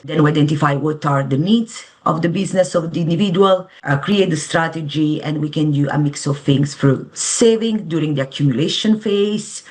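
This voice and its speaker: A woman's voice: deep voice, darkish tone. A woman from Italy with an Italian accent, a financial advisor explaining things earnestly and conversationally as an interview guest.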